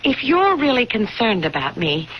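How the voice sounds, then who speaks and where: Worried young wife in old radio play, highish voice, swoopy and pleading, bit of hiss. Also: female voice, sing-song, normal speed, a bit bright. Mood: earnest, pleading. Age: young adult. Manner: earnest, pleading, intense. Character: anxious, insistent young wife, suspicious of her neighbors. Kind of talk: 1970s radio drama acting. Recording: slightly noisy vintage radio broadcast.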